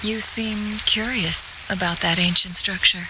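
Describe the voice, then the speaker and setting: An actress playing a calm, graceful young woman in a video game, soft-spoken and wistful in manner. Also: medium pitch, a little slow, heavy background noise, lightly acted. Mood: gentle, reflective. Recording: music behind the voice. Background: music.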